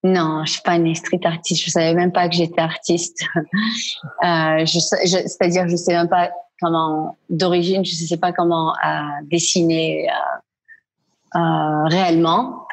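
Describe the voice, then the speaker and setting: A woman from Quebec answering interview questions casually and hesitantly, searching for words, with a husky voice. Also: fairly low pitch, little pitch movement, unhurried. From Quebec, Canada. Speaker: guest, street artist. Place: at home in her dining room.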